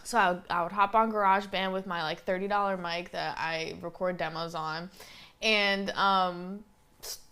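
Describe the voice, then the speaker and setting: A woman's voice: on the low side, steady tone, normal speed, pushed and bright, clear, resonant tone. A young woman in her twenties with a slight Southern twang, hosting her podcast in a chatty, rambling way with lots of fillers. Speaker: podcast host. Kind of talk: casual solo podcast monologue.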